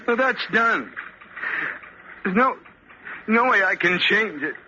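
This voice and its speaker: An actor playing a troubled, guilt-ridden young stowaway in an old radio drama, confessional and halting. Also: male voice, high voice, lively intonation, slight background noise, heavily acted. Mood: uneasy, evasive. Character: troubled young male stowaway, guilt-haunted, guarded and meek. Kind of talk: 1940s radio drama acting, first-person narration and dialogue. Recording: muffled old radio recording, loud music behind.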